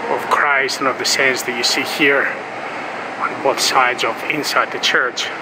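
A man speaks from inside a large church, talking viewers through its history with unhurried, explanatory phrasing and frequent pauses. His voice sits at an ordinary middle pitch. A heavy, steady hum of air conditioning and outside traffic runs under everything he says. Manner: relaxed, explanatory, pausing often. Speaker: travel vlogger. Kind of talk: walking tour narration.

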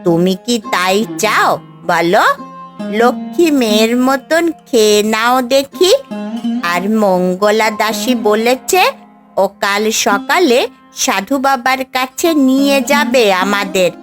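A woman voicing a caring, loyal female parrot in a fairy-tale cartoon, coaxing gently. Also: a little high, animated, takes it slowly. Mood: coaxing concern. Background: background music.

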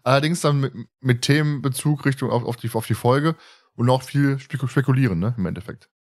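A man's voice: mid-range voice, fairly quick, a little mellow. A man hosting a podcast in a casual, chatty way, often chuckling as he tells stories. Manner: chatty, often chuckles while telling anecdotes. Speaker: podcast host.

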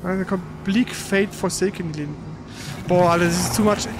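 A man giving casual, slangy let's play commentary while he plays, reacting out loud to the game. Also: high-pitched, moderate pace, background noise. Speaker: let's player.

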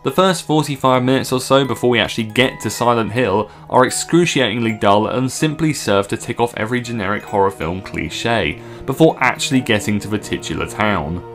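A man's voice: medium pitch, brisk, hardly any pauses, soft background music. A man in his twenties narrating a scripted video review in a sardonic, scornful way. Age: 20s. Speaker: film reviewer.

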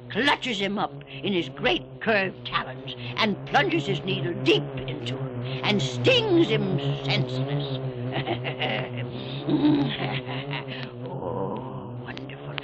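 A woman's voice: fairly low pitch, background noise, full character voice. An actress playing a cackling, half-mad old female lighthouse keeper on a spooky storybook record, with folksy rustic grammar and theatrical delivery. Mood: gloating. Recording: dull lo-fi record with music behind.

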